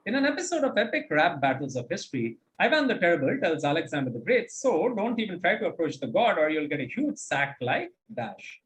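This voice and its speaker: A man's voice: a little high, sing-song, brisk, few pauses, a bit bright, low-quality recording. A man hosting a quiz, reading out questions and commenting on answers in an articulate, encouraging, explanatory way. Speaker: quizmaster and host.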